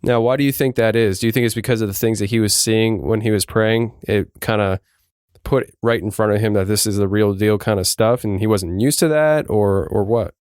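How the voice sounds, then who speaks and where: A man's voice: lowish voice, a little fast, darkish tone, clean tone, dry recording, studio quality. A man hosting a podcast, asking a guest questions in a casual, conversational style. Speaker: podcast host, interviewer.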